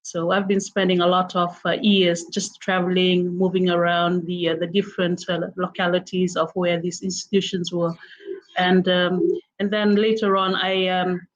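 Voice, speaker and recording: A middle-aged woman with a Fijian accent, a guest in a casual interview, who speaks haltingly with many "uh" and "um" pauses. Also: on the low side, flat delivery. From Fiji. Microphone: video call. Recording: dull lo-fi online call audio.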